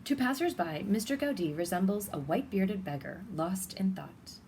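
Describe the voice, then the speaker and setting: Female storybook reader, mid-pitched, expressive and deliberate, recorded with audible background noise. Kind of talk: children's picture-book read-aloud.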